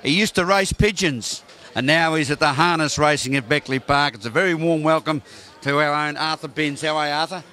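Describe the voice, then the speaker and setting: An Australian man hosting local television with folksy, effusive enthusiasm. His voice sits a little high and sounds bright, and he teases his guests. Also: brisk. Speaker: TV presenter. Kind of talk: local TV presenting and interviewing. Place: racecourse pavilion lounge.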